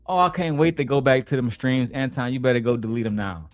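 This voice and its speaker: A man's voice: mid-range voice, expressive, fast talker, dry recording, soft background music. A man streaming, reacting to video clips with blunt, profane, mocking commentary.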